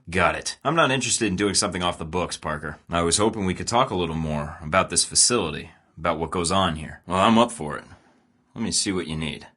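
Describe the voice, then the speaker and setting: A man's voice: sits low, bright, forceful tone, exaggerated voice. An actor voicing a cocky young male spy recruit in a video game, speaking in a wry, sarcastic, self-assured way. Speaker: game character.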